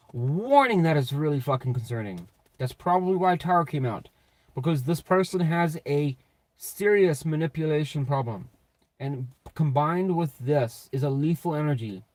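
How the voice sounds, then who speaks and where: A man giving a casual, off-the-cuff tarot reading in a blunt, sweary, slangy way, with a slightly raspy voice. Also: a little high, a little mellow, no room sound, poor audio. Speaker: tarot reader and channel host.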